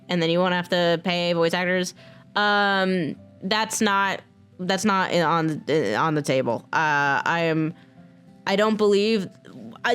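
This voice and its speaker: An American woman talking casually and candidly, unscripted, in an informal and halting way, like a creator speaking to her audience. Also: mid-range voice, a bit bright. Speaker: video creator and mod developer. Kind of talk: casual, unscripted talk to camera.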